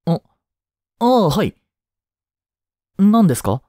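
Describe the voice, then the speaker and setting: A kind, easygoing schoolteacher, acted by a man for a voice drama. His pitch is mid-range and moves expressively, at an unhurried pace. He talks in a casual, gently concerned way, in a dry, close recording. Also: big pitch swings, dry recording, exaggerated voice. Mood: worried, polite. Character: caring, easygoing young male high school teacher. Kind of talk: scripted Japanese voice drama acting.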